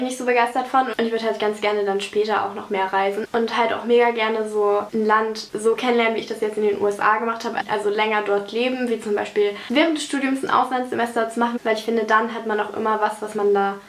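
A woman's voice: on the high side, little pitch movement, fast, hardly any pauses. Chatty young woman in an echoey bedroom. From Germany. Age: young adult. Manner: chatty, rambling with many fillers. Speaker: vlogger. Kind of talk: casual chat while baking. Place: bedroom at home, indoors.

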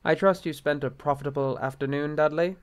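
A man's voice: fairly high pitch, fairly even intonation, brisk, clear, resonant tone, natural voice. Stiff, anxious bishop, played by a man. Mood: stiff, formal. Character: stiff, exasperated, anxious male bishop and husband. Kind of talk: film-adaptation audio drama acting.

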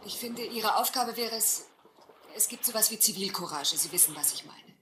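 Principled young lady, bright-voiced. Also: medium pitch, lively intonation, moderate pace. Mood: reproachful. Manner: earnest, reproachful, questioning. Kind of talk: German radio play acting. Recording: roomy, faintly noisy radio play recording.

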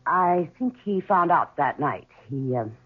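Husky, guarded mistress. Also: female voice, low-pitched, expressive, unhurried, heavily acted. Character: poised, guarded mistress of a murdered man. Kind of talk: 1970s radio drama acting. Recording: tinny 1970s radio broadcast.

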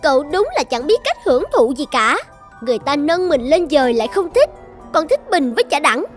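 A woman voicing a haughty, boastful iron jar in an animated fairy tale, talking scornfully. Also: high-pitched, fairly quick, soft background music.

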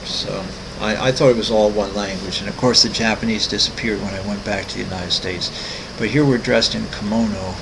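A man in his sixties, an artist walking through his website, talking casually with many ums, pauses and restarts. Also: on the low side, steady tone, creaky, background noise. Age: 60s. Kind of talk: casual screen-recorded walkthrough.

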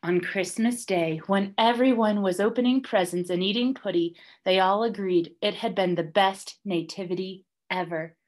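A young woman reading a children's story aloud like a teacher, deliberately and with dramatic emphasis. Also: mid-range voice, fairly even intonation. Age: young adult. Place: small classroom, indoors, by a whiteboard.

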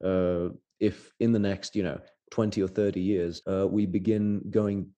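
Male podcast host, very low and dark voice, hesitant with lots of fillers. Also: fairly even intonation, highs cut off. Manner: halting, many filler "uh"s. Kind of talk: conversational interview questions.

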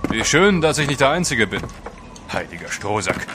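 Wary expedition seafarer male actor, sardonic, exclamatory, medium pitch, audio drama with noisy ambience. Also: big pitch swings, normal speed, lightly acted. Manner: blunt, sardonic. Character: wary, plain-spoken, exclamatory seafarer on polar trek. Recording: clear outdoor background noise.

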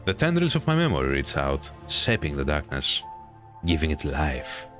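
A man with a very low voice narrates a visual novel for a gaming video. His delivery is drawn-out and dramatic, with chatty asides. Soft game music plays behind him. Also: wildly swooping pitch. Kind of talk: reading a visual novel aloud with casual commentary.

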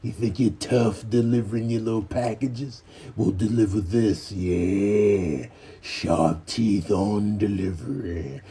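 Man doing a low, cocky animal tough guy. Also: fairly even intonation, slow, warm tone, slightly rough, exaggerated voice. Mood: taunting.

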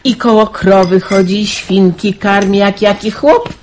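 A woman's voice: mid-range voice, unhurried, a little mellow, lightly acted. Actress as chatty, kindly country mother. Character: kindly, busy country mother in wartime Poland. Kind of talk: radio drama acting.

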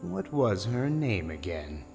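Guy doing a menacing smooth agent in a radio play, taunting, big echoey hall, faint music under. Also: lowish voice, very animated, a little mellow, heavily acted. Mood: mock-polite, taunting. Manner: taunting, mock-polite, deliberate. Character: menacing, smooth-talking crooked male federal agent of the 1920s. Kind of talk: audio drama acting. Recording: long reverberant hall sound, faint music behind.

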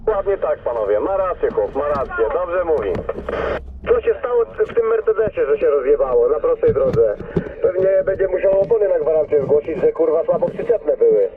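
A man's voice: on the high side, sing-song, moderate pace, distant mic. A man, a driver, trading jokey, profane casual banter over CB radio. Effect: CB radio transmission. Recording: tinny, noisy CB radio in a vehicle.

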